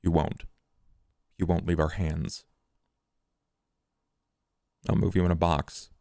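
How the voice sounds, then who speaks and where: A man playing a menacing, calculating vampire with a gravelly voice and a formal, deliberate way of threatening and promising. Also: low voice, dark tone, no room sound, clean studio sound, heavily acted. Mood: grim, assured. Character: menacing, calculating male vampire, grimly protective.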